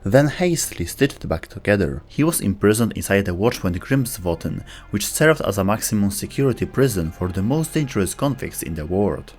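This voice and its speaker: A man giving scripted, documentary-style narration for a game lore video. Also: lowish voice.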